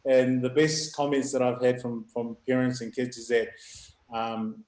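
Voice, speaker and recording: A middle-aged man with a loud, booming voice, a youth rugby coach talking in halting bursts with frequent laughs. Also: flat delivery. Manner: halting, restarts, laughs often. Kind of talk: live video call chat. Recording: echoey room.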